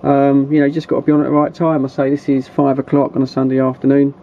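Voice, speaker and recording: A man's voice: mid-range voice, monotone, quick pace. A man with a southern English accent chatting casually in a chummy, rambling way, as a hobbyist vlogger. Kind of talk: casual vlog chat. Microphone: handheld camera. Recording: dull, noisy handheld camera audio.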